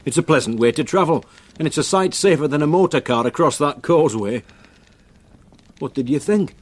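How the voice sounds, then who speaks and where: Local man, gruff, plain-spoken, protective, reassuring, radio drama. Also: on the high side, lively intonation, brisk. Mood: gruff concern.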